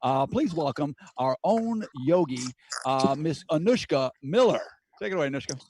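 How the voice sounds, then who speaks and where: A man hosting a comedy night, introducing the next act in a relaxed, informal way. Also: a little high, moderate pace, breathy edge. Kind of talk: live show introduction.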